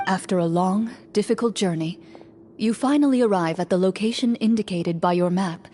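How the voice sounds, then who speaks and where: A woman's voice: medium pitch, warm tone, natural voice. Woman as a composed game master, subdued tone. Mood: solemn, calm. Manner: measured, solemn, descriptive. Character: composed, reserved woman duelist acting as tabletop game master. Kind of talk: dramatic scripted narration in a video game.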